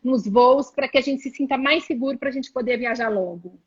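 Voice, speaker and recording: High, husky Brazilian woman host, effusive. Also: moderate pace. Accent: Brazilian Portuguese. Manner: enthusiastic, effusive. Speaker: interviewer, live host. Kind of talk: live video interview. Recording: lo-fi video call audio.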